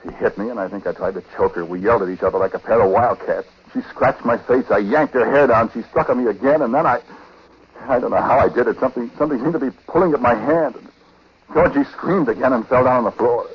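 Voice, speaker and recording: An actor playing a troubled, remorseful husband who talks in a halting, conversational way, in 1940s radio drama style. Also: male voice, medium pitch, husky. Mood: agitated, distraught. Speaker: lead actor and narrator. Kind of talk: 1940s radio acting, first-person confessional narration. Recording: noisy, muffled 1940s radio recording.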